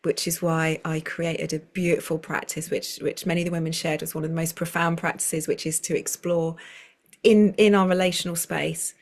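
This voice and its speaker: A woman's voice: deep voice, little pitch movement, moderate pace. A woman, a circle facilitator, speaking as a guest over a video call in a flowing, rambling way with many restarts. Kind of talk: conversational interview.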